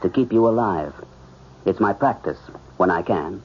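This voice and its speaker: An actor playing a principled, lovelorn surgeon, measured and formal, in 1970s radio drama style. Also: male voice, low-pitched, animated, breathy edge. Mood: cool, professional. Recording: dull, band-limited radio drama recording.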